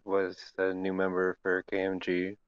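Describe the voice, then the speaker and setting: A man's voice: sits low, completely flat delivery, moderate pace, clean tone, dry recording. A man chatting casually and hesitantly as a newcomer on a podcast, through a muffled mic. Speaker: new podcast crew member. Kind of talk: casual chat. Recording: dull, muffled voice-chat mic.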